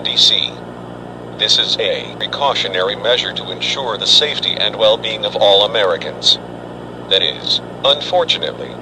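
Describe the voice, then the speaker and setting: Grave official spokesman, male actor, measured and formal, slightly low pitch, noisy emergency broadcast. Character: solemn male government spokesman addressing the nation in crisis. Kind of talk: formal scripted emergency address.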